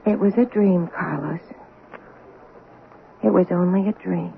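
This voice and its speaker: A woman's voice: on the low side, unhurried, creaky. An actress playing an earnest, distraught woman who insists she is a murderer, in an old-time radio drama. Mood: bewildered. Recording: narrow, noisy old radio recording.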